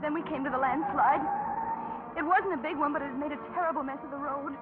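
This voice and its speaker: An actress playing a frightened young waitress held hostage, with anxious, halting delivery in 1940s radio suspense style. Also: female voice, high voice, fairly even intonation, loud music behind the voice, lightly acted. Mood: anxious narration. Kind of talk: 1940s radio suspense acting, dramatic narration. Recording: tinny, noisy 1940s radio recording.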